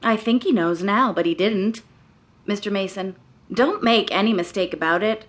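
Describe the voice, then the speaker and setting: Woman playing a spoiled young heiress in a radio drama, mid pitch, sulky, pushy, short answers. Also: normal speed, lightly acted. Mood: insistent, demanding. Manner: insistent, petulant, terse.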